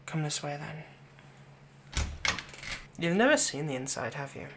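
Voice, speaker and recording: A man playing a possessive young fantasy villain in an ASMR roleplay, tenderly soothing a captive in a soft voice that often turns breathy. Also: a little high, big pitch swings, takes it slowly, noisy background, exaggerated voice. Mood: gentle, reassuring. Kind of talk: ASMR roleplay, intimate scripted monologue.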